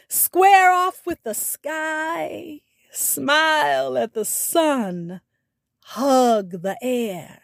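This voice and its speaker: A woman podcast host reading a scripted sign-off in a deliberate, drawn-out, expressive way. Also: high-pitched, dry recording, clean studio sound. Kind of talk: scripted sign-off narration with spoken poetry. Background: music.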